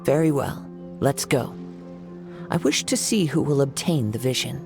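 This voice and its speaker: A calm, formal samurai wanderer. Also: male voice, mid-range voice, gravelly, music in the background. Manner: composed, measured, formal phrasing. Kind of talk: video game voice acting.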